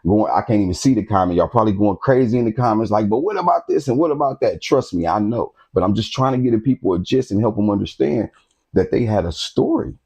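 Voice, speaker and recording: Host type, male, low mellow voice, preachy street-talk lecturer who keeps checking the audience follows. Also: lively intonation, fast, breathy edge. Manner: street-talking preacher, repeats phrases, keeps asking "you understand what I'm saying". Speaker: host of a history talk channel. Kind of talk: casual lecture to camera.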